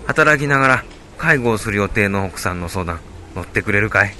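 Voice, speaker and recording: Older male patient man acting, radio drama; gentle, earnest, bright voice, faint noise behind. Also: fairly low pitch, expressive, brisk. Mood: requesting. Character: older male hospital patient asking a younger acquaintance for advice.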